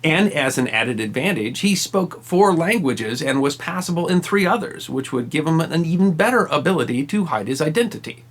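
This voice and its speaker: A man tells a history story from a script, his pitch sitting a little high. His voice rises and falls a lot as he leans on key words. He delivers dry, wry asides with emphasis. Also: lively intonation, moderate pace, hardly any pauses. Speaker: history channel host.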